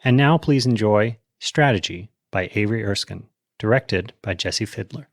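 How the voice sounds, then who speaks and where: A man reading a scripted introduction as a festival announcer, in a measured, formal way. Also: lowish voice, no room sound, clean studio sound.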